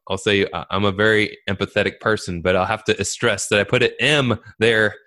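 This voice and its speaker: Man, podcast host, lowish pitch, casual, jokes around. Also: steady tone, brisk, pushed and bright. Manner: reads intro in a scripted way, then chats loosely and jokes. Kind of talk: podcast interview.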